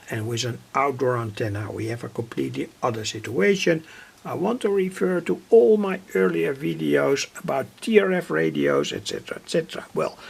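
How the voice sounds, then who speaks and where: A man's voice: fairly high pitch, creaky, slightly rough. A man giving an informal, hesitant technical demonstration, repeating himself as he explains each step. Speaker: electronics hobbyist presenter.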